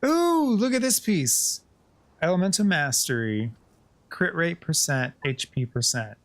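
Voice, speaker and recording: A man's voice: a little high, lively intonation, unhurried. A man giving casual, chatty gameplay commentary as a streamer, often addressing the game characters. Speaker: gaming streamer.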